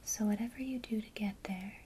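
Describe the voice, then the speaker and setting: A woman whispering softly and calmly through a guided ASMR lesson on casting a spell. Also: medium pitch, a little hiss or hum. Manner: soft unvoiced whisper, slow and calm. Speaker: ASMR creator teaching witchcraft.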